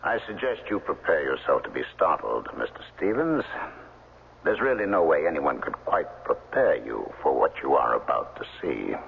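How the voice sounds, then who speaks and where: An actor playing a formal, discreet man in a 1970s radio drama, speaking with measured, precise diction. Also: extremely low pitch, very animated, gravelly, slightly rough, heavily acted. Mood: formal, ominous. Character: formal, discreet man showing a billionaire's secret. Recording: tinny 1970s radio, reverberant, faint noise.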